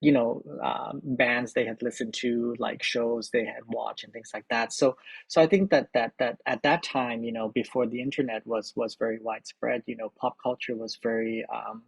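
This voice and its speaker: Man from Thailand, middle-aged, interview guest, halting speech, dry close recording. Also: medium pitch, fairly even intonation, gravelly, husky, dry recording, poor audio. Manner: halting, many repeated words and fillers. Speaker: film editor and director, interview guest. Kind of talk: thoughtful interview answers.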